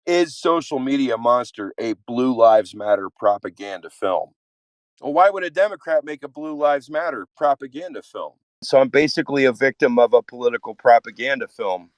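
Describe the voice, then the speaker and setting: A man's voice: mid-range voice, lively intonation, normal speed, no echo, low-quality recording. Vehement, profane man in a dry recording. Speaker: activist. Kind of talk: impassioned spoken monologue.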